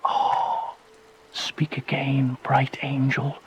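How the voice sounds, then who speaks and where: An actor playing a lovestruck young man in Shakespearean verse, speaking with tender, rapturous and measured delivery. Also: mid-range voice, monotone, whispered, low-quality recording. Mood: hushed awe. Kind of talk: slow dramatic verse acting.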